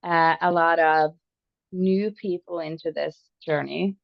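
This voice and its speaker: Norwegian woman, podcast co-host. Lowish, creaky voice, halting delivery, searches for words. Dull, dry recording. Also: fairly even intonation, no echo. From Norway. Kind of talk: casual podcast conversation.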